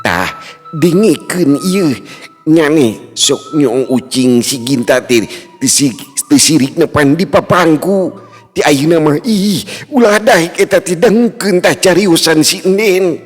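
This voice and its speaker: A man's voice: fairly high pitch, slow pace, breathy edge, pitched above the performer's own voice. A man voicing an elderly village mother who gossips and scolds. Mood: gossipy. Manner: chatty, scolding. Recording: reverb, faint music, distant.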